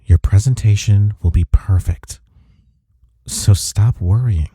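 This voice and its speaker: Man acting as caring gruff workplace rival, audio roleplay, husky, soft-spoken, intimate, close dry sound. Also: on the low side, slow pace, no echo. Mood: insistent reassurance. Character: gruff but caring male office rival, secretly admiring.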